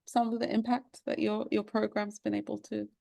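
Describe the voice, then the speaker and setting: A woman's voice: medium pitch, flat delivery, moderate pace, gravelly, dark tone. A woman interviewing a guest, asking encouraging questions with some hesitant phrasing.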